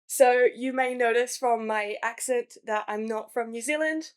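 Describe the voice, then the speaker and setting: A woman's voice: a little high, unhurried, clean tone. A young woman from New Caledonia, a museum science communicator chatting enthusiastically about her career, with frequent fillers. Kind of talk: casual career talk.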